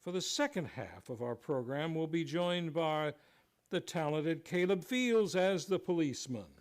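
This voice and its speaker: Higher-pitched male radio announcer, theatrical. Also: animated, unhurried. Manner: formal, theatrical. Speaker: radio show host and announcer. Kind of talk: scripted old-time radio announcing.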